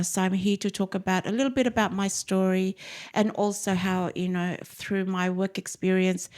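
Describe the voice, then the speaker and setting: Gravelly middle-aged woman. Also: lowish voice, a little mellow. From born in Fiji, raised in Australia. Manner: earnest, frank, speaks in loose run-on phrases. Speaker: podcast guest. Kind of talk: candid interview conversation.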